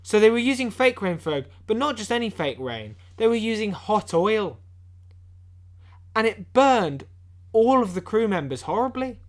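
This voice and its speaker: A man playing an eager amateur investigator on a comedy podcast, sharing grim revelations in a conspiratorial, deadpan way. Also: high voice, normal speed. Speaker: podcast co-host. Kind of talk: mock-serious comedy podcast banter.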